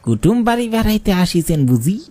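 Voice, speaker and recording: A man's voice: high voice, sing-song, normal speed, warm tone. A man playing a friendly, chatty village rickshaw puller in a cartoon, speaking rural Bangladeshi Bengali. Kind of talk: cartoon dialogue. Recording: music behind the voice.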